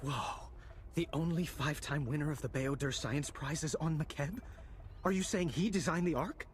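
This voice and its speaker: A man's voice: fairly high pitch, moderate pace, a little hiss or hum, close to an everyday voice. A man playing an eager, nerdy engineer in a science-fiction game, earnest and technical in manner. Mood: astonished. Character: eager, nerdy male engineer of a space republic. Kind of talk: game cutscene acting.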